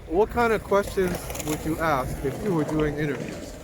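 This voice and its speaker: A man's voice: a little high, expressive, normal speed. A man interviewing someone in a casual, conversational way, asking simple questions. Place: outdoors in the city. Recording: noisy outdoor city street with traffic.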